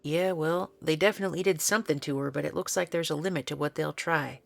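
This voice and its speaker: A woman acts out a weary, stubborn woman researcher in an audio drama. Her voice is on the low side and heavy with creak. She talks bluntly and dryly, with a sardonic streak. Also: quick pace, soft background music. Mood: sardonic, resolute.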